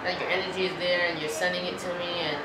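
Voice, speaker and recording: A woman's voice: lowish voice, level tone, fast talker, very noisy. A woman talking casually as the host of an event, addressing the people there. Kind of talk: casual emcee talk to a crowd. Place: indoor event space with crowd noise behind. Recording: echoey hall from far off, loud crowd.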